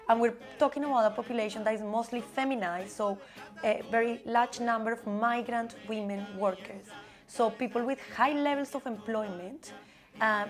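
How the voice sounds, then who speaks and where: A woman researcher speaking in a measured, formal way in a documentary interview. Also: medium pitch. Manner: measured, formal policy talk. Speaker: researcher or advocate.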